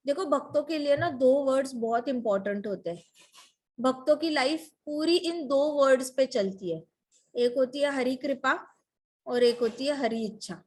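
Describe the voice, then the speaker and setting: High-pitched woman, devotional storyteller, emphatic, full of rhetorical questions. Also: normal speed, a little mellow, poor audio. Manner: mixes English words into Hindi.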